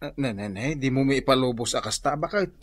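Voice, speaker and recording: An actor playing a sheepish, hard-drinking husband with an Ilocano accent, stammering and excitable in a radio comedy drama. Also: male voice, mid-range voice, quick pace, natural voice. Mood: alarmed, pleading. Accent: Ilocano Filipino. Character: bumbling, hard-drinking Filipino husband pleading with his wife.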